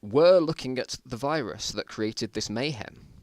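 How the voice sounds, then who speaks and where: A man's voice: mid-range voice, expressive, unhurried, no echo, close to an everyday voice. A man voicing a timid male scientist survivor in an amateur story reading, earnest and pleading.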